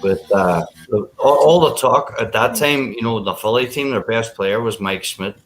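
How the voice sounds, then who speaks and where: A man's voice: fairly low pitch, moderate pace, soft background music, low-quality recording. A man in his forties in a casual livestream chat, reminiscing unhurriedly with many fillers over a call mic. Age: 40s.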